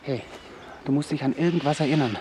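A man's voice: on the high side, quick pace, warm tone, slight background noise, natural voice. An actor playing a stubbornly loyal male companion in a radio play, speaking in insistent, pleading short phrases. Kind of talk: naturalistic German radio play acting.

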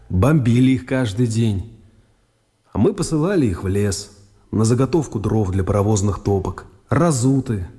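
A man's voice: mid-range voice, dark tone, close to an everyday voice. Weary accused man in a reverberant hall. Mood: sombre. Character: weary accused man, plain-spoken, haltingly explaining himself. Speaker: radio drama actor. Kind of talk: Russian radio play acting. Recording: long reverberant hall, music underneath.

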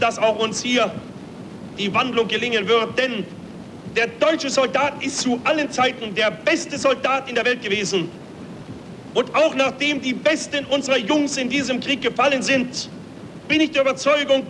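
An actor playing a fervent Nazi-era military orator, giving an emphatic, rhetorical propaganda speech in a radio play. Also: male voice, very high voice, a bit bright, a little hiss or hum.

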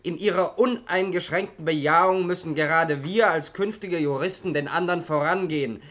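A man's voice: fairly high pitch, moderate pace, a bit bright, lightly acted. An actor playing a zealous young male law student in a German radio play, declaiming in an insistent, lecturing way. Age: young adult.

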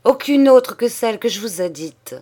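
A woman voicing a dignified young nobleman who answers in a steady, composed tone that hardens into quiet warning, read in an audiobook. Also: on the high side, moderate pace, husky, pitched above the performer's own voice. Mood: calm, respectful. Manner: quiet, composed, turning firm and menacing. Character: calm, dignified young nobleman, unshakably firm.

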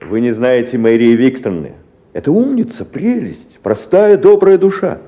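An actor playing a genial, educated doctor, effusive and coaxing, in a radio play of a literary story. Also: male voice, mid-range voice, sing-song, normal speed, highs cut off, noisy background, close to an everyday voice. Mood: coaxing, enthusiastic.

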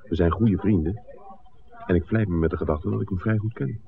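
A man's voice: deep voice, fairly quick, gravelly. An actor playing a worldly, principled man with a wry, bantering way, teasing his friend in a 1960s Dutch radio drama. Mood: friendly, confiding. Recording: muffled, noisy old radio drama recording.

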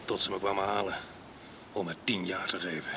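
An actor playing a rough, jealous ex-convict husband in a radio drama, coarse, domineering and mocking, with a gravelly voice. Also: male voice, sits low, fairly even intonation, fairly quick, noisy background. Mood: bitter storytelling.

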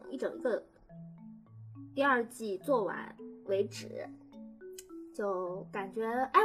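A woman in casual behind-the-scenes chat, talking in halting, repetitive phrases. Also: fairly high pitch. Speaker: audio drama crew member. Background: music.

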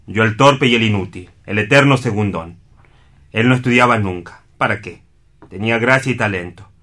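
A man's voice: on the low side, sharp tone. A man acting in a radio play as a bitter, anguished brother, speaking with impassioned reproach. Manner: impassioned, reproachful, self-pitying.

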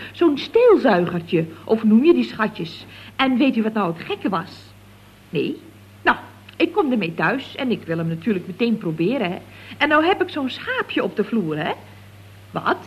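A woman's voice: high voice, husky, full character voice. A woman playing a chatty, scatterbrained office worker in 1970s radio comedy, rambling along with giggly, naive earnestness. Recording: dull, lo-fi old radio recording.

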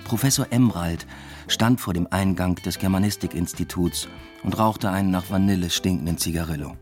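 A man's voice: low-pitched, normal speed, lightly acted. An actor playing a weary, ironic male lecturer who narrates his own story in a radio play with dry, reflective understatement. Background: music.

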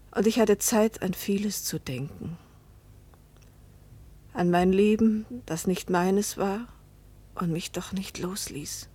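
An actress in a German radio play voicing a lonely, fearful, resigned wife, breathy and often hushed. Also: female voice, on the low side. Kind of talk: German radio play acting with first-person narration.

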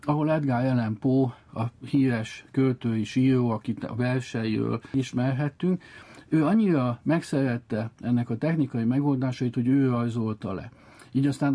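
A man's voice: medium pitch, warm tone. A man talking as an expert, explaining history in long, discursive storytelling sentences.

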